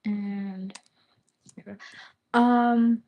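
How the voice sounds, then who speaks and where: A woman's voice: a little high, steady tone, extremely slow, phone-quality sound. Woman streamer from the Philippines, Bisaya speaker, loose rambling chat full of fillers and casual swearing. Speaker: livestreamer.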